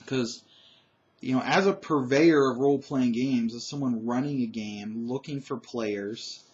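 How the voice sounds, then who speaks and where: Loud, emphatic man giving opinions. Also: medium pitch, unhurried, muffled recording. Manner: emphatic, opinionated, with frequent fillers and restarts. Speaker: gaming commentator. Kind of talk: solo opinion monologue.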